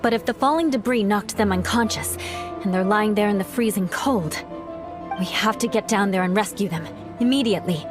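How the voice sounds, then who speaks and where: A level-headed young woman companion, voiced by a woman, with a mid-pitched voice. She speaks steadily and calmly, with soft game music underneath. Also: brisk, lightly acted. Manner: calm, steady. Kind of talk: game cutscene voice acting.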